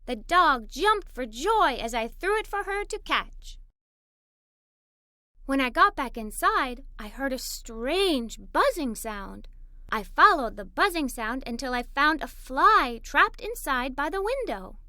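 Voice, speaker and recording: A woman voicing an excited, adventurous little girl telling a children's read-aloud story in an expressive, enthusiastic way. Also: high-pitched, takes it slowly, no echo, clean studio sound, full character voice. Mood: eager.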